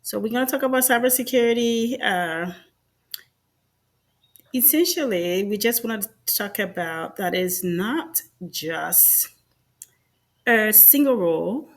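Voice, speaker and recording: A woman from Liberia with a Liberian accent, hosting an informal educational presentation in a conversational way, often repeating and restarting phrases. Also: lowish voice. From Liberia, West Africa. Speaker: host of an educational online show.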